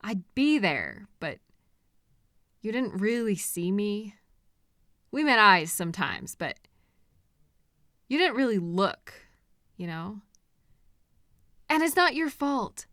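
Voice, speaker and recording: A possessive, delusional female kidnapper played by a woman speaks very slowly in a bright, close and dry voice. She coaxes and soothes with condescending patience, pausing hesitantly as in an intimate roleplay audio drama. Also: mid-range voice, big pitch swings, no room sound. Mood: wistful, earnest. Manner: slow, coaxing, patient, condescending, hesitant pauses.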